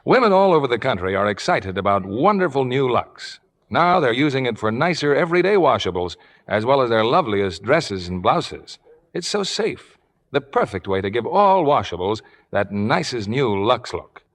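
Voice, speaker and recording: Male announcer, old-time network radio, formal sponsor-read style, swooping inflection, medium pitch. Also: big pitch swings, moderate pace. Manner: polished, formal.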